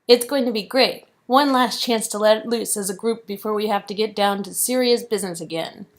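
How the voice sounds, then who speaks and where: A woman's voice: medium pitch, fairly quick, lightly acted. A woman playing bossy, fun-loving young women in a game story, in a teasing, playful manner.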